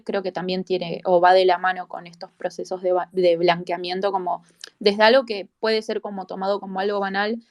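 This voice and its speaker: A woman speaks at a medium pitch with quite flat intonation. She offers reflective commentary as a panel guest, linking thoughts loosely with small asides. The recording is dry. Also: no echo. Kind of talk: reflective commentary in a live audio discussion.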